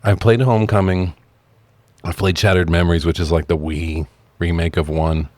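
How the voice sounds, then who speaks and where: A man's voice: low voice, little pitch movement, moderate pace, a little mellow, no room sound. A middle-aged American man co-hosting a podcast, telling stories casually with wry, freely profane humor. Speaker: podcast co-host. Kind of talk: casual storytelling banter.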